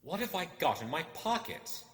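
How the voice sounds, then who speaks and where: A man's voice: fairly high pitch, sing-song, normal speed, a bit bright, pitched above the performer's own voice. A man voicing a small, frightened but stubborn hobbit in a storybook reading, exclaiming urgently. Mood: puzzled, insistent. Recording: echoey hall-like reverb.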